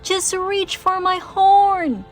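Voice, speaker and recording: A woman voicing a majestic, kindly female sea unicorn in a storybook, with gentle singsong reassurance. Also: very high-pitched, extremely slow, faint music behind, voice pushed up, full character voice. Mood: soothing.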